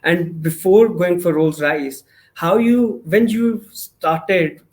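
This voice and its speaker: A man hosting a podcast interview, asking long, halting questions with many restarts. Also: high voice, darkish tone. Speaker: podcast host.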